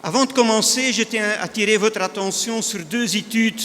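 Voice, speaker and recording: A man from northern Belgium with a Flemish accent, a native Dutch speaker, giving a measured conference talk with frequent restarts. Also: sits high, a bit bright, a little hiss or hum. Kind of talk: conference presentation. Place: large conference hall. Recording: reverberant hall, faint ambience.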